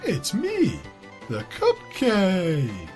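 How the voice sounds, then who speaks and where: A woman voicing a melodramatic dying cupcake in a cartoon fan story, consoling and solemn, speaking haltingly. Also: lowish voice, wildly swooping pitch, darkish tone, faint music behind, heavily acted. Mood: faint, consoling.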